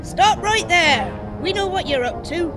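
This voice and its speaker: A woman voicing a fierce, blunt college rival armed with a pitchfork in a radio play. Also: animated, unhurried, over music. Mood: accusing. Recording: roomy, echoey sound.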